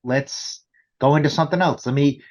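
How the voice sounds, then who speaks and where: A man's voice: on the high side, normal speed. A man explaining things conversationally as a business interview guest, often checking in with rhetorical questions. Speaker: company executive guest.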